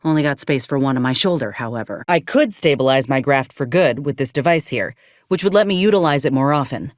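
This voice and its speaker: A woman's voice: very deep voice, animated, brisk, dry recording, clean studio sound. An actress playing an earnest, determined young woman soldier with folksy rural turns of phrase in a video game. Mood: thoughtful, practical. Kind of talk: video game character dialogue.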